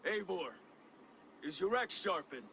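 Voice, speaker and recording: An actor playing a steady, commanding Viking leader in a video game, speaking with firm, measured authority. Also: male voice, high voice, creaky, a little hiss or hum, heavily acted. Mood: comradely.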